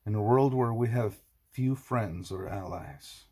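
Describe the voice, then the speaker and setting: A man voicing a confrontational, needling leader in an audiobook, pointed and deliberate, with a husky voice. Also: lowish voice, dark tone. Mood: guarded.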